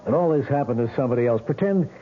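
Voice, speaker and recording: A man's voice: mid-range voice, animated, natural voice. An American man playing a probing, skeptical police lieutenant in a vintage radio drama, questioning insistently. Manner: questioning, insistent, halting when reasoning. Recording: tinny band-limited old radio recording, music.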